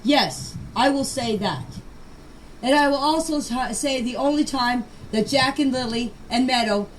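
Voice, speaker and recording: A woman's voice: sits high, unhurried. An older woman giving an unscripted personal account in voice messages, speaking emphatically and defensively and repeating phrases to press her point. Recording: noisy amateur voice-message clips.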